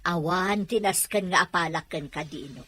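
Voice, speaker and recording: A woman playing a stern, nagging elder sister with a husky, sharp, scolding delivery in an audio drama. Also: lowish voice, normal speed.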